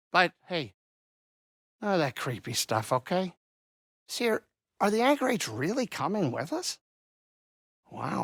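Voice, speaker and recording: A man playing a middle-aged alien pilot and saloon owner in a video game, anxious but kindhearted, with expressive, folksy, wry grumbling. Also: sits high, no echo, studio quality, heavily acted. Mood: nervous, warm. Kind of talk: video game character acting.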